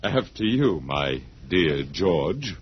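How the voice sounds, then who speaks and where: Weary sardonic male captive, stubborn, lively intonation, 1950s radio drama, muffled old broadcast sound. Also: sits low, slow, full character voice. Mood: wry defiance. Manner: dry, sardonic, resigned. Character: wry, weary, stubborn male human prisoner.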